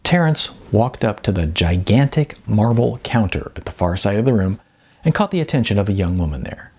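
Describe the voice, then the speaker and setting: A man's voice: fairly low pitch, very animated, a little fast, a little mellow, slightly rough. A man narrating a novel as a scripted audiobook.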